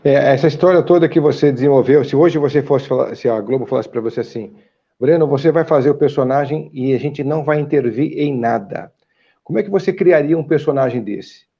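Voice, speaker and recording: A Brazilian male host asking long, searching questions with plenty of hesitant fillers. His voice is mid-pitched and dark, even mellow. The recording sounds dull and lo-fi. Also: brisk. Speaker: interviewer host. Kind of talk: interview questions. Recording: dull lo-fi audio.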